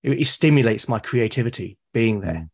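A man's voice: mid-range voice, lively intonation, normal speed, darkish tone, slightly rough. A British man, a podcast guest, talking in a reflective, casual way and often restarting and repeating words mid-sentence. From Britain.

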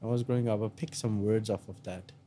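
A man's voice: fairly low pitch, fairly even intonation, a little fast, dark tone, a little hiss or hum. A young man from India with an American-sounding accent, co-hosting a casual podcast chat and swearing freely. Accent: American-sounding, picked up in India. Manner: swears freely, laughs often. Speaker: podcast co-host.

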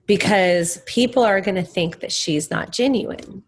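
Young woman guest from Texas, mid-pitched, candid and emphatic. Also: a little slow. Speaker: guest musician. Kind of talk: conversational interview.

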